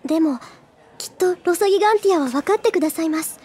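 Very high-voiced, flustered schoolgirl. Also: fast talker, warm tone. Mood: earnest, wistful. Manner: hesitant, stammering. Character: earnest, easily flustered teenage schoolgirl. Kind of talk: anime audio drama acting. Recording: music behind the voice. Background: background music.

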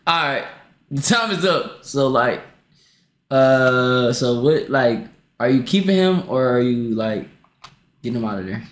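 A male streamer hosts a live call, teasing and cursing at his guests in quick casual banter. His voice has a roomy, echoey ring to it. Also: medium pitch, expressive. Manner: loud, profane, teasing, bursts of shouting laughter. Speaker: streamer host.

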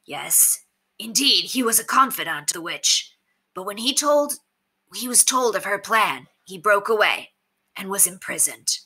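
A woman playing a male bandit king in an audiobook story, grave and resolute, speaking in a slow, direct way with rustic phrasing. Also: mid-range voice, lively intonation, pushed and bright, breathy edge, exaggerated voice. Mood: steely, direct.

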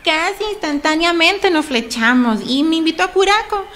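An actress playing an outspoken, emotional Honduran immigrant shopkeeper in a radio soap, speaking expressively and colloquially with a Honduran accent. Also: female voice, very high-pitched, animated, normal speed, a bit bright. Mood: reminiscing. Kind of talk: radio soap opera acting.

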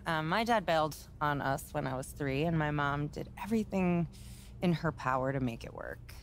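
Low-voiced, composed female agency executive. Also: slight background noise. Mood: personal, earnest. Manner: measured, businesslike. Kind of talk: naturalistic game cutscene dialogue.

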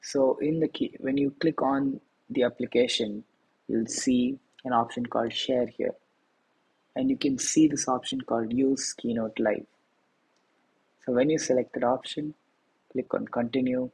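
A man walks through a software tutorial step by step, pausing on fillers as he goes. His voice is gravelly and a little husky. The tone sits dark and relaxed. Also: mid-range voice, low-quality recording. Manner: plain step-by-step explaining with frequent fillers. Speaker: tutorial host. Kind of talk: screen-recorded software tutorial.

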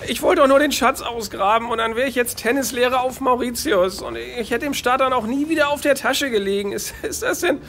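An actor playing a cornered petty ex-convict in a comic radio crime play, stammering and whiny. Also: male voice, very high voice, a little fast, hardly any pauses, a bit bright, slight background noise. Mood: whining, dejected. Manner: stammering, flustered. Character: sheepish cornered petty ex-convict male crook, hapless and whiny.

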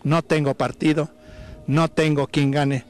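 Mexican man, local historian, formal measured address, slightly high voice, handheld mic outdoors. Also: flat delivery, faint music behind. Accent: Mexican Spanish. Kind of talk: closing remarks to camera. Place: outdoor baseball field.

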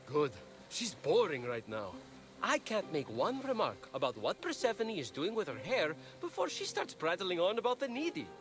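A gossipy high-voiced young god. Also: male voice, normal speed, over music. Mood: scheming, catty. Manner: catty, breezy, expressive. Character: gossipy, flippant young male messenger god. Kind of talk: video game cutscene acting.

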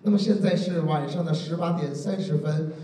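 A heavily echoing, distant voice of a florid wedding emcee acted by a man, somewhat high and mellow. He announces formally and grandly, as in a TV drama. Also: moderate pace, lightly acted. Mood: grand, festive. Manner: declamatory, formal. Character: florid, ceremonious male wedding master of ceremonies. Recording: very echoey, distant, faint noise and crowd.

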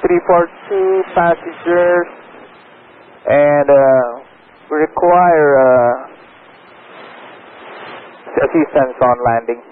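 A man speaking as an airline pilot over aviation radio, giving procedural, halting readbacks and reports. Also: on the high side, narrow, tinny audio. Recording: noisy, band-limited air traffic radio.

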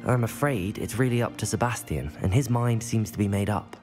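A young man playing a polite, curious student wizard in a video game, courteous and measured. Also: on the low side, a little mellow, natural voice. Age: young adult. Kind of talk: video game character dialogue. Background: background music.

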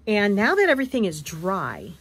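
A woman hosting a craft video, hoarse and wheezy from allergies, chatting casually as she shows fabric at a table. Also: medium pitch, huge pitch swings, moderate pace. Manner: chatty, rambling, self-correcting. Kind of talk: casual show-and-tell chat. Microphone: camera above a tabletop, speaker off frame.

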